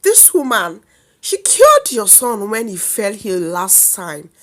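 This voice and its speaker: A woman's voice: medium pitch, wildly swooping pitch, takes it slowly. A woman with a Nigerian accent voicing an indignant, loyal village woman in a folktale, pleading and reproachful.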